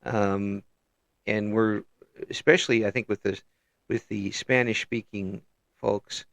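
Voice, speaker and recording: Guy hosting a chat, gravelly voice, lots of ums and restarts, dry sound. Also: fairly low pitch, fairly even intonation, fairly bright tone, no room sound. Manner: halting, many fillers and restarts, chuckles often. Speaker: interview host. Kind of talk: casual interview chat.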